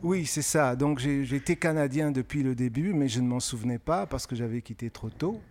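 A middle-aged man who grew up in francophone Africa, answering interview questions in a measured, thoughtful way with frequent pauses. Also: medium pitch, a little mellow. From francophone West and Central Africa. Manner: measured, thoughtful, pausing often with hesitations. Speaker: guest author.

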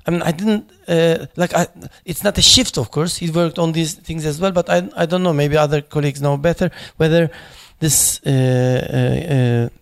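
Non-native guy talking at a panel, lots of uhs and restarts, kinda raspy fry in his voice. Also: fairly high pitch, steady tone, moderate pace, few pauses. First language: not English; foreign accent in Turkish noted. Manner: hesitant, many fillers and restarts. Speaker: panelist at a memorial talk. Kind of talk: unscripted speech, halting and searching for words.

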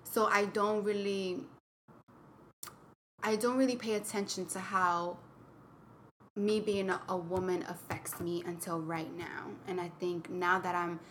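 Candid young woman, lowish voice, reflective. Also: steady tone, unhurried, pauses often, fairly bright tone, a little hiss or hum. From Connecticut, US. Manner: candid, reflective, many fillers and "like". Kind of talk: personal storytelling in a casual chat.

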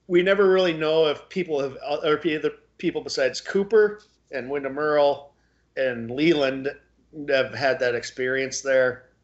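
A man co-hosting a podcast in casual discussion, speaking haltingly as he searches for his words. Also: medium pitch, muffled recording. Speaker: podcast co-host.

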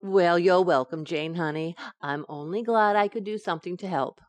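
Woman acting a low-voiced, reassuring friend. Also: moderate pace, no room sound, close to an everyday voice. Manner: soothing, affectionate. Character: reassuring, motherly woman comforting her pregnant friend. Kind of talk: old-time radio sitcom acting.

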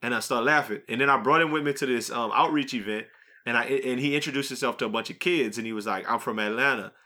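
A man's voice: mid-range voice, fast talker, sharp tone. A man hosting a podcast, debating casually with friends in a blunt, profane, teasing way. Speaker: podcast host.

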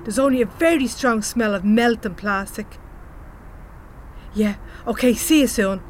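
Actress as Irish woman leader, brisk, down-to-earth, higher pitch, faint background noise, comedy audio drama. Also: lightly acted. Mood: matter-of-fact. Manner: bossy, down-to-earth, chatty. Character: brisk Irish woman leading a misfit gang.

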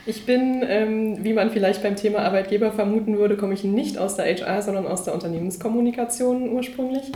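Woman consultant, mellow and gravelly, explanatory, with a roomy echo. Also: medium pitch, brisk. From Berlin, Germany. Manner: explanatory, uses many fillers. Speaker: employer branding consultant. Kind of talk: interview answers.

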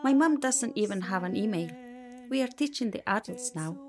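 An actress playing an earnest, idealistic young person answering directly in a radio play. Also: female voice, on the high side, animated, moderate pace, crowd noise, lightly acted.

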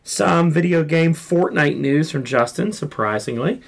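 Man, podcast host, sore throat, froggy, heavy vocal fry, rambling chat. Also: a little high, animated, unhurried. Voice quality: froggy, gravelly. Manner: joking, rambling. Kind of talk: casual pop culture chat.

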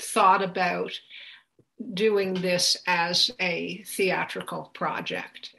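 Bright, creaky-voiced woman interview guest. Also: lowish voice, poor audio. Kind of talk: conversational interview answers.

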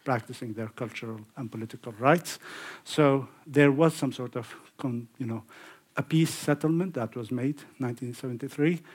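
Man lecturing, husky voice. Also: mid-range voice, a little mellow. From Iraq. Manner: conversational, with frequent fillers and restarts. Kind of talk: public lecture. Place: lecture hall.

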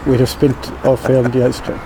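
A man in his seventies with a County Clare accent, recalling his youth in a relaxed, chuckling way as he is interviewed. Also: mid-range voice, brisk, noisy background. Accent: Irish, County Clare. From Ireland. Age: 70s. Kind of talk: reminiscing, casual storytelling.

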